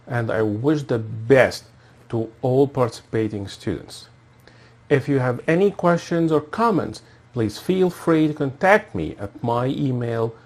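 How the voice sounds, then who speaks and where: A man's voice: mid-range voice, a little mellow. A man in his thirties from Egypt, giving a formal, scripted self-introduction as a course instructor. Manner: formal, measured, reads from a prepared script. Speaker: assistant professor and course instructor.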